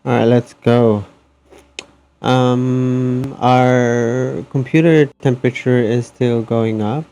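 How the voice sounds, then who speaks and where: A man's voice: medium pitch, fairly even intonation, slow, warm tone, smooth. A man hosting a game livestream, chatting casually with viewers. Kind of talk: casual stream chat.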